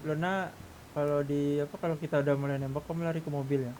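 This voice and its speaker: A man's voice: a little high, flat delivery, fast, a little mellow. A young man in casual gaming chat, explaining things informally over a noisy microphone.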